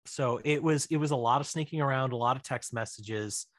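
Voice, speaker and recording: A man with a mid-pitched voice chats casually as an interview guest. He tells behind-the-scenes stories as an animated, talkative filmmaker. The sound is dry, with hardly any room in it. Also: brisk, dry recording.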